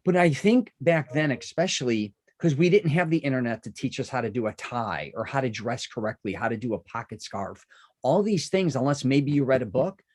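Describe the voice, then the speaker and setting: Chatty podcast host, rambling and warm-up eager. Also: male voice, medium pitch, a little fast. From Chicago. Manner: rambling, lively, full of asides and restarts. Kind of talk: casual interview chat.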